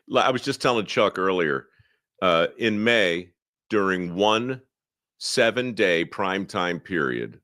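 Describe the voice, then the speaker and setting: Lively low-voiced man. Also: no echo, studio quality. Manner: lively, emphatic, repeats words when starting. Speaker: podcast guest. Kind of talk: casual conversation.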